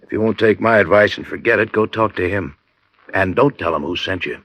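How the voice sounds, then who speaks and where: An actor playing an uneasy but protective American friend who talks plainly and reluctantly, in old radio noir style. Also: male voice, lowish voice, fairly quick, noisy background, lightly acted. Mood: reluctant warning. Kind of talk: 1940s-50s radio noir acting. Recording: dull, dry vintage radio recording.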